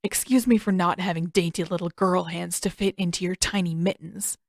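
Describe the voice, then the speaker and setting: A woman's voice: on the low side, fairly even intonation, normal speed, dry recording. A woman voicing a very grumpy, sarcastic teenage boy in an audiobook, grumbling and sardonic.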